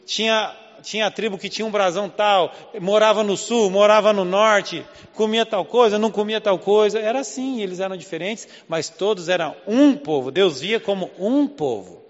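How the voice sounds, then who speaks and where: A man's voice: sits high, normal speed, hardly any pauses, big-room sound, dull audio. A man from Southeast Brazil with a Southeast Brazilian accent, preaching a conversational sermon full of rhetorical questions. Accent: Southeast Brazilian Portuguese. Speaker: pastor. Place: church hall.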